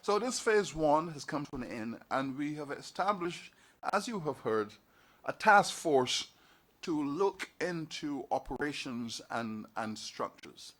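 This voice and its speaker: A man's voice: fairly high pitch, expressive, fairly bright tone. Man from Barbados giving a formal address. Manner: measured oratory with repeated phrases. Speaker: university leader giving an address. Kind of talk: formal speech at a council meeting. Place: meeting hall.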